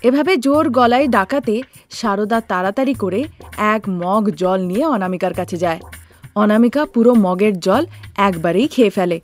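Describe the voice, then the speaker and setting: A woman's voice: mid-range voice, animated, moderate pace, soft background music. A woman narrator telling a cartoon story in a scripted storytelling style.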